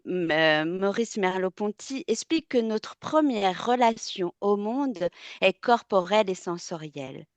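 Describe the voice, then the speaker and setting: A woman's voice: medium pitch, dry recording. Thoughtful woman giving a webinar talk. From France. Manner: thoughtful, often pausing with fillers and restarting words. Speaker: textile artist and webinar speaker. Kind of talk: webinar presentation.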